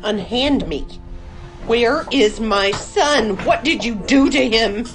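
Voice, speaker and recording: A desperate captive mother in an audio drama. Her voice is high and halting, distraught yet defiant. Music plays clearly behind her. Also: expressive. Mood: angry, desperate.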